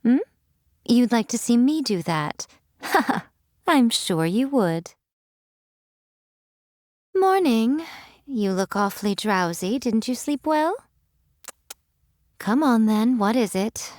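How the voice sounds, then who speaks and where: A woman's voice: on the high side, very animated, slow, long pauses, a little mellow, dry recording, clean studio sound. A woman voicing a sly, ageless fox spirit shrine maiden in a game, with a teasing, languid, theatrical and self-assured manner. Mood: playful teasing. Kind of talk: scripted game character voice lines.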